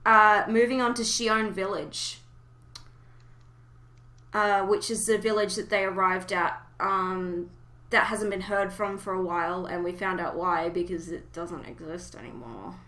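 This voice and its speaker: An echoey room surrounds this woman's voice, which sits at an average pitch. She hosts a podcast in a casual, conversational fan-discussion style. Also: steady tone, unhurried, pushed and bright. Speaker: podcast host.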